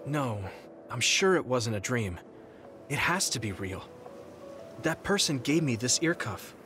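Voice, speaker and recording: An actor playing an earnest, polite, slightly awkward young soldier in an anime-style video game, speaking hesitantly. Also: male voice, medium pitch, big pitch swings, a little slow, music in the background. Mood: hesitant, apologetic.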